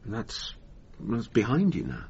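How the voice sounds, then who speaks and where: An intense hypnotherapist in a naturalistic radio drama. His low voice is very breathy and rough, halting yet insistent, with wide swings in pitch. The sound is slightly muffled. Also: huge pitch swings, darkish tone, heavily acted. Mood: earnest, insistent. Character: intense, hesitant male hypnotherapist, insistent and secretive. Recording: dull lo-fi radio broadcast.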